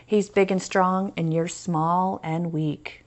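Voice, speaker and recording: A woman's voice: lowish voice, steady tone, slow pace. Woman reading as jeering, mocking schoolkids. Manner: jeering, spelled-out laughter. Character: mocking school kids taunting a smaller boy.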